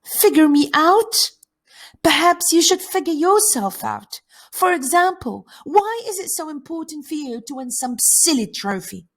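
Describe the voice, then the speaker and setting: Woman playing prim, guarded well-bred girl, high voice. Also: lively intonation, slow. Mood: indignant. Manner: formal, precise, proper phrasing. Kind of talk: acted play reading.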